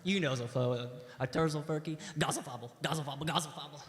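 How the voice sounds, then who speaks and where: A man's voice: on the high side, fast talker, a little hiss or hum, natural voice. A man playing a rambling, eager Canadian storyteller in a live comedy sketch, with an exaggerated Canadian accent and hesitant, folksy phrasing. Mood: eager, animated. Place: large hall, live stage show. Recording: echoey hall, live stage sound.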